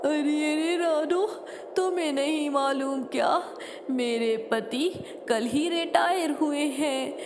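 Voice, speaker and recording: A distraught cartoon parrot wife, played by a woman wails and laments in a high voice. It sits in long reverb with clear noise behind it. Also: slow. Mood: wailing, distraught. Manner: wailing, lamenting. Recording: noisy, long hall-like reverb.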